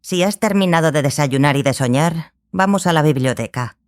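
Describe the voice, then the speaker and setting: A woman playing a learned, exacting small sorceress who mentors a student, speaking in a measured, matter-of-fact way in an audiobook drama. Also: low voice, lively intonation. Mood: explanatory.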